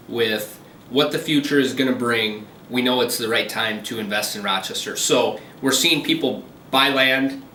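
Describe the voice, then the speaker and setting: A man speaking as a commercial realtor, with a bright, forceful voice. He explains deals in a steady, businesslike way with frequent ums. The sound is distant and echoey, with faint noise behind him. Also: mid-range voice. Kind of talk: phone interview.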